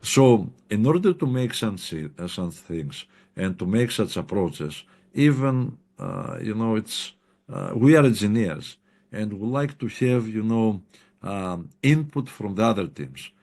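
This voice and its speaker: A man's voice: fairly low pitch, very animated, unhurried, a little mellow. A man answering a question in an online seminar, hesitant and full of fillers, on a video call. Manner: hesitant, many fillers and "you know". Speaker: presenting researcher.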